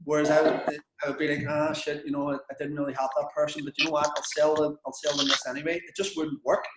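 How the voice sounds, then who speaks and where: A man's voice: fairly high pitch, completely flat delivery, quick pace, roomy sound, very noisy. A man with a Belfast accent coaching a business group in a frank, chatty, sweary way. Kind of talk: casual coaching session with students.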